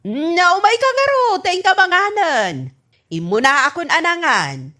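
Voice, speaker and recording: A woman's voice: very high-pitched, big pitch swings, unhurried, pushed and bright, exaggerated voice. A woman acting a nagging, suspicious wife who scolds and questions in an Ilocano radio comedy drama, with an Ilocano accent. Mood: questioning, nagging. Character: nagging, suspicious wife of a forgetful old man.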